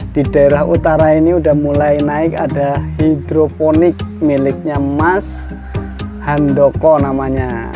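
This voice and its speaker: A man's voice: fairly high pitch, level tone, measured pace, over music. A man, hosting a casual farm interview in a greenhouse, chatty and full of leading questions. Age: 30s. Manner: chatty, friendly, asks many leading questions. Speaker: podcast host, agricultural extension worker. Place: outdoor greenhouse on a hillside.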